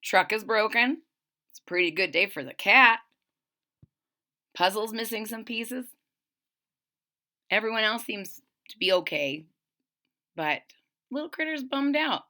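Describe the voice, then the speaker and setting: A woman reads a picture book aloud for young listeners, stopping to chat about the pages. Her voice sits a little high and sounds bright and clear. She swings her pitch widely, with plenty of expression. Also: unhurried, pauses often. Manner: expressive, chatty asides between pages. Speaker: storybook reader.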